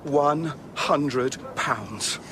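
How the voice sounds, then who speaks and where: An actor playing an earnest, indignant, uneasy Victorian gentleman with an English accent in a radio drama, emphatic and sometimes hesitant. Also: a little high, very slow, background noise.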